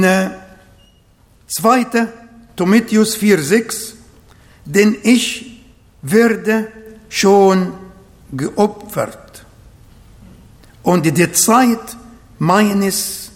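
A man with an Arabic accent preaching a sermon and praying, slow and emphatic, repeating phrases to his congregation. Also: high-pitched, in a large hall. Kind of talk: sermon with prayer. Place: church hall, livestreamed service.

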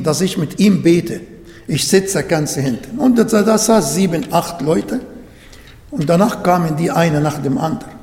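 A man preaching in German that is not his native tongue, with a fairly high voice and a slow, deliberate delivery. He repeats phrases and restarts sentences to drive each point home. The recording rings with long reverb, like a large church hall. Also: a little mellow. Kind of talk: sermon.

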